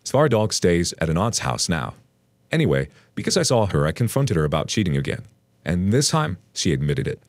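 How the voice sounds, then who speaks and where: A man reading an online post aloud as a storytelling narrator, in an expressive read. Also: deep voice, fairly quick, dry recording.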